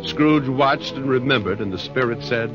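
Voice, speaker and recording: An actor playing a wry, slangy storyteller who narrates a Christmas tale in a folksy 1940s radio manner. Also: male voice, medium pitch, normal speed, lightly acted. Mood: warm, storytelling. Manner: folksy, colloquial, animated. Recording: dull old radio recording, music behind.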